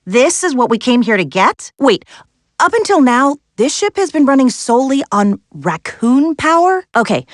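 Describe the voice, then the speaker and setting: A young, capable American adventurer heroine from a cartoon video game. Her voice sits fairly high and swings widely in pitch, recorded close and dry. She guides with wry, sassy confidence and plenty of encouragement. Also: no echo. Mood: wry, businesslike. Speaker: video game character voice actress. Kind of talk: animated video game voice lines.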